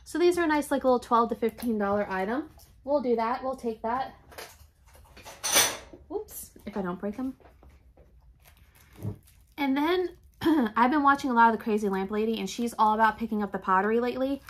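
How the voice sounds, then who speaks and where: A chatty, enthusiastic woman, talking straight to her desk camera in a home craft room. Her voice sits a touch high, and it sounds a little raspy and congested from allergies, with the odd throat clearing. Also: moderate pace, pauses often. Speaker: reseller vlogger. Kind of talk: casual shop-with-me haul chat.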